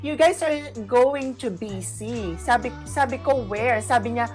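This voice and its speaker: A woman with a Filipino accent co-hosting an online radio show in casual, mixed-language chat with an animated, playful delivery. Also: fairly high pitch, measured pace, faint music behind.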